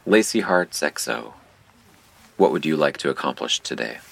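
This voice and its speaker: A man playing a mysterious, impassive game operator who narrates a surreal audio drama in a formal, deadpan manner. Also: very deep voice, moderate pace, gravelly, full character voice. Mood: cold, formal.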